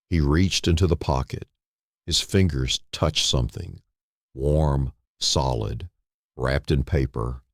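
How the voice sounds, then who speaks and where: Very deep, slow male narrator. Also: dry recording, clean studio sound. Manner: solemn, halting delivery in short phrases. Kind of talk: dramatic scripted story narration.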